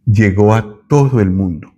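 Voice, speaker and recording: A man from Colombia, giving a thoughtful Bible teaching with pauses and self-corrections. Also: fairly low pitch, mellow, slightly rough.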